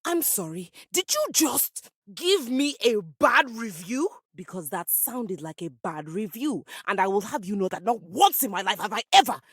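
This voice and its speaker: A woman's voice: mid-range voice, sing-song, moderate pace, few pauses, breathy edge, no echo, studio quality. A woman playing a proud, vain piano come to life in a video game, theatrical and grandiloquent in manner. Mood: offended, indignant. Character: proud, dramatic female living piano, vain musical virtuoso. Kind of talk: video game character acting.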